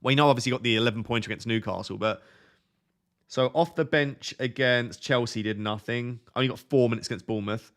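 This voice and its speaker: A young man hosting a fantasy football live stream, reading viewer questions aloud and answering them in a relaxed, soothing voice. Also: mid-range voice, fast talker. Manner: self-described relaxing, soothing voice. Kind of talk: casual live stream chat.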